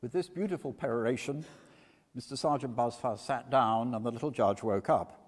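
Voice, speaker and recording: A man's voice: medium pitch, normal speed, husky. A man narrating as a reader of literary scenes, in a dramatic storytelling style. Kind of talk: dramatic stage reading, storytelling. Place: church, before an audience. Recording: reverberant church hall.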